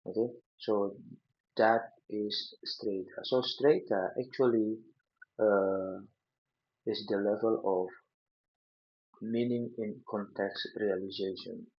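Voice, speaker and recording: A man with an Indonesian accent giving an unscripted lecture over slides, halting often with fillers and restarts. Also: on the low side, steady tone, slightly rough. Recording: dull lo-fi recording.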